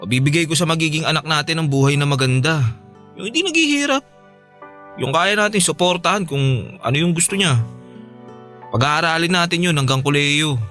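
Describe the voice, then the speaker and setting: A man's voice: fairly high pitch, lively intonation, brisk, pauses often, sharp tone. An actor playing a meek young Filipino boyfriend in a radio drama, hesitant and stammering as he pleads. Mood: earnest, hopeful. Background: faint music.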